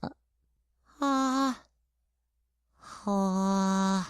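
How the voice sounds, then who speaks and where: A woman's voice: mid-range voice, very slow, clear, resonant tone, no room sound, clean studio sound. A woman in a radio drama playing a timid, polite little boy prince who speaks hesitantly. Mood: tired, embarrassed. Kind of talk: theatrical radio drama acting.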